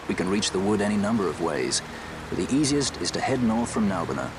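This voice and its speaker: An actor playing a cool, roguish adventurer in a game cutscene, with a dry, understated and practical delivery. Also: male voice, lowish voice, very animated, fairly quick, noisy background. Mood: wry, matter-of-fact. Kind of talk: game cutscene drama acting. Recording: game music behind the voice.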